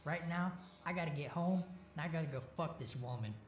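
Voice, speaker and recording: A man voicing a brash, cocky young man who talks loud and crude. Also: mid-range voice, measured pace, reverberant, close to an everyday voice. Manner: loud, swaggering, profane.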